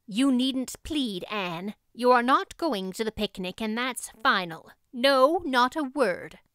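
A woman's voice: on the high side, animated, slow pace, a bit bright, smooth, no room sound, studio quality. A woman voicing a strict, exasperated female guardian in a storybook, curt, firm and indignant. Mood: stern, angry.

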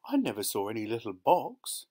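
A man's voice: medium pitch, expressive, fairly quick, voice pushed up, natural voice. A man voicing an anxious, flustered wife who pleads politely and hurriedly, as a storybook character. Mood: fretful. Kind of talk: audiobook character voice.

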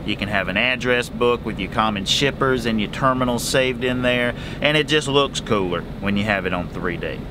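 A man's voice: mid-range voice, moderate pace, hardly any pauses, noisy background. Chatty trucker in his cab. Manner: chatty, folksy, animated hand gestures. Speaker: truck driver vlogger. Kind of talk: casual advice vlog. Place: small truck sleeper cab, indoors. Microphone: camera in front at close range.

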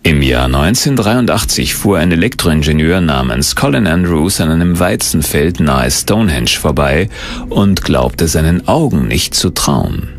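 A man's voice: a bass voice, animated, moderate pace, faint music behind. A man reading scripted documentary narration as the narrator.

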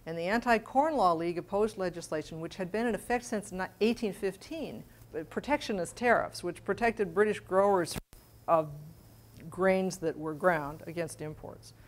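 Lower-voiced middle-aged woman giving a lecture. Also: normal speed. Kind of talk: prepared academic talk to an audience. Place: small library meeting room with audience. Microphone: lapel.

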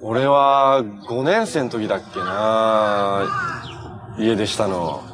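A man's voice: fairly low pitch, slow. A man acting as a kind, easygoing young hero in natural television drama dialogue. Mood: gentle, encouraging. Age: young adult. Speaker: actor in a television superhero drama. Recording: noisy TV clip audio with ambience.

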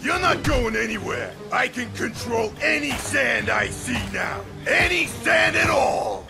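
A man's voice: very high-pitched, expressive, unhurried, creaky, heavily acted. A man voicing a brutish, vengeful sand monster in a video game, aggressive and threatening. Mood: furious. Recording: loud music and effects behind.

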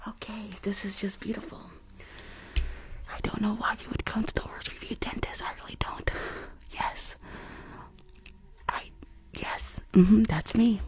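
A shady, impatient female dentist, acted out by a woman speaks in breathy whispers for an ASMR roleplay. She mutters bossy orders and repeats herself in a hurry, gleeful about her crooked work. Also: fairly high pitch, a little mellow. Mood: smug. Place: small indoor room, livestream setup.

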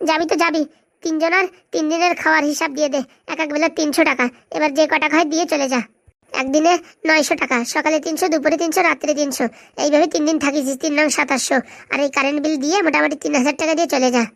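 Performer as quarrelsome cartoon villager, high-pitched, extremely fast, scolding, combative, dry close sound. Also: fairly even intonation, creaky, a bit bright, no echo. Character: bossy, scolding, hot-tempered cartoon villagers, quick to quarrel. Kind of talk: comic cartoon dialogue.

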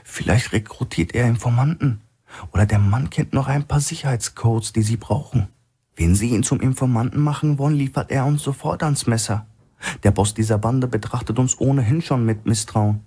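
A man voicing a calm, calculating male hostage in a thriller audiobook, speaking in a measured, understated way. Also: mid-range voice, breathy edge, pitched above the performer's own voice, close to an everyday voice.

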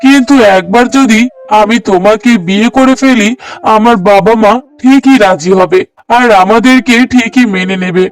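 Man acting a high-voiced, pleading young suitor. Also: normal speed, clean tone, distant mic, lightly acted, low-quality recording. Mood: earnest pleading. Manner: earnest, persuasive. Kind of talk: dramatic cartoon voice acting.

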